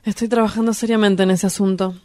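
A woman's voice: medium pitch, fairly even intonation, quick pace, close to an everyday voice. A woman with an Argentine accent playing a cynical, shameless young male lover in a radio drama. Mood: guarded.

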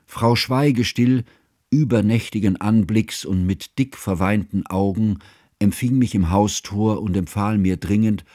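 An actor playing a devoted scholar who narrates a literary radio drama in a measured, formal, solemn manner. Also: male voice, on the low side, fairly even intonation, dark tone, dry recording, studio quality. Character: grave, devoted male scholar narrating his friend's tragedy.

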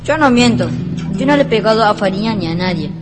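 A woman's voice: medium pitch, expressive, fast, lightly acted. A woman playing a smug, defensive rich schoolboy in a radio drama, in a reverberant recording with music. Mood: defensive denial. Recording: long reverb, music underneath.

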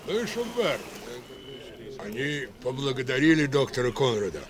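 An actor playing a village man who retells gossip with solemn, deliberate emphasis in a 1960s radio drama. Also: medium pitch, big pitch swings, natural voice.

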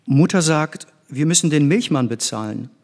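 A curious, higher-voiced young pupil. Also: male voice, expressive, moderate pace, darkish tone. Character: Andrea, curious young boy, housekeeper's son and pupil. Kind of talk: theatrical scripted performance.

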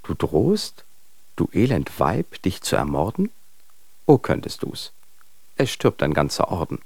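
An actor playing a bitter husband who declaims scornful verse solemnly and theatrically in a radio drama. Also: male voice, low voice, wildly swooping pitch, unhurried, gravelly, a little mellow, no room sound, exaggerated voice. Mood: scornful, accusing.

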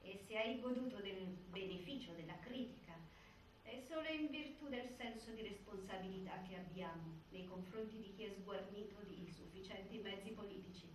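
Echoey distant recording, mid-pitched female narrator played by an actress, sober, formal, scripted theatre narration. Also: natural voice. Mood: stern. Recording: echoey stage, distant, faint noise.

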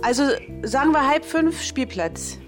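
Young woman reporter, kinda high voice, polite n hesitant, casual chat with music behind. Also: expressive, unhurried. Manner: polite, tentative, questioning. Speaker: interviewer and reporter. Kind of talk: casual unscripted conversation.

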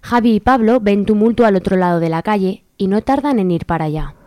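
A woman's voice: medium pitch, brisk, warm tone, slight background noise. Wry woman narrating comedy. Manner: wry, slangy storytelling. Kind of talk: comic radio narration.

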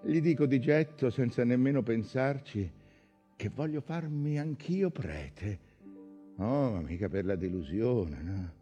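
An actor playing an intense, wistful man recalling his youth in a hushed, halting, intimate theatrical monologue. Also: mid-range voice, animated, faint music behind, lightly acted. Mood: confiding, hesitant.

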